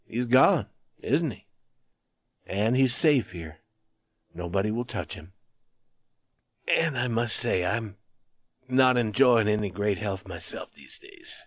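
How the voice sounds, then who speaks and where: A man voicing a weary, wounded older soldier who speaks low and hushed in a dazed, musing way. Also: animated, slow, long pauses, husky, no echo, dull audio, full character voice.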